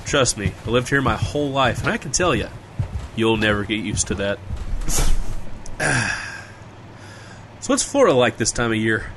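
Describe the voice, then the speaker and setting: A man's voice: mid-range voice, big pitch swings, normal speed, pauses often, fairly bright tone, slight background noise. A man playing an easygoing, wry small-town local in casual audio drama banter, with a folksy conversational manner.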